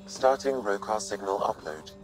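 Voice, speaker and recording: A man acting a calm, formal artificial intelligence assistant in a video game, giving precise status reports. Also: fairly low pitch, moderate pace, natural voice, low-quality recording. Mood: composed. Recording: loud game music behind.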